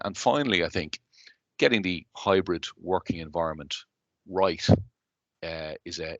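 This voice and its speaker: A man with a Dublin Irish accent, in his thirties, answering interview questions in a measured, businesslike way with frequent fillers and restarts. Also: deep voice, a bit bright, no echo. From Ireland. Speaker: guest, company chief executive.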